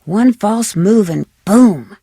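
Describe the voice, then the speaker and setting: A young American woman playing a plucky, curious amateur detective in a video game, with crisp, expressive, self-narrating delivery. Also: medium pitch, slow pace, no echo, clean studio sound. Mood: startled, wary. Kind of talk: scripted video game character lines.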